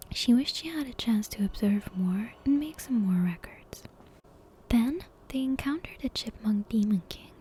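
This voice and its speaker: Woman doing asmr storytime, all soft breathy whisper, mellow and hushed, bit of background noise. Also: on the high side, rough recording. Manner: hushed, deliberate storybook narration. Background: soft game soundtrack music.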